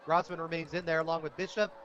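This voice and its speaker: American male play-by-play caller, high voice, excitable, rapid delivery, slightly dull lo-fi broadcast sound. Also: slight background noise. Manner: excitable on big plays. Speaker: play-by-play radio announcer. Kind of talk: live high school football commentary. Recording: dull, lo-fi outdoor radio broadcast.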